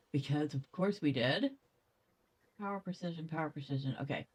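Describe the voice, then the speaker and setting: A woman's voice: deep voice, moderate pace, a little mellow, husky. A woman giving casual game commentary, chatty and playful as she thinks aloud. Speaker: gaming video host.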